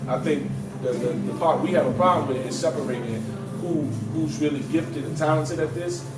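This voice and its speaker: A slightly high-voiced man talks in casual, slangy interview style. He is emphatic and likes to repeat a phrase to drive it home. The sound is roomy and a bit away from the mic. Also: moderate pace. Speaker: battle rapper being interviewed. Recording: roomy, distant mic, music underneath.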